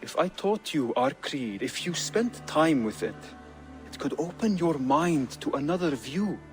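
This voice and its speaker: An actor playing an earnest, scholarly member of a secret assassin order in a video game, with polite, thoughtful, formal speech. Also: male voice, fairly high pitch, animated, unhurried, faint music behind. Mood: earnest, accepting. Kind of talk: dramatic video game acting.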